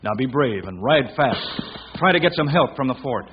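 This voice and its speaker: An actor playing a protective, steady pioneer rancher father with folksy frontier speech in an old radio western. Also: medium pitch, normal speed, lightly acted. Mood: urgent, commanding. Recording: dull old radio recording, crowd noise behind.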